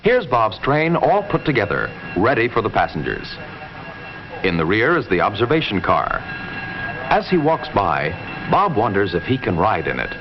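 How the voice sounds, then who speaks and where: A low male voice delivers measured 1950s educational narration with lively rises and falls. The sentences are simple and explanatory. It comes through a dull, noisy old film soundtrack. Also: over music.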